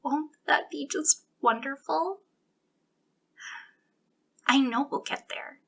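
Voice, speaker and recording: A woman playing an obsessive, sweetly menacing female captor in an ASMR roleplay, speaking intimately in a breathy voice that slips into whispers. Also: sits high, fairly bright tone, full character voice. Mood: possessive, coaxing. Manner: breathy delivery slipping into whispers, lingering pauses.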